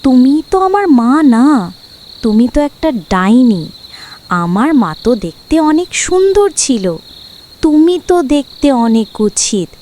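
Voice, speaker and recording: A woman's voice: big pitch swings, measured pace, warm tone, a little hiss or hum. A woman voicing a small mistreated girl who sounds frightened and pleading in a cartoon. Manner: high, pleading and tearful. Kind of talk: cartoon voice acting.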